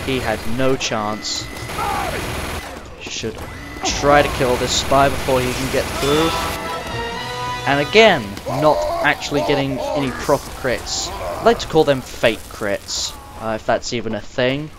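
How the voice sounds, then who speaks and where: Croaky young man chatting over gameplay. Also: mid-range voice, measured pace. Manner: rambling, chatty, talks straight to the viewer. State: slightly croaky and sniffly. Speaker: gamer recording gameplay commentary. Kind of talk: casual gameplay commentary. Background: game music and sounds.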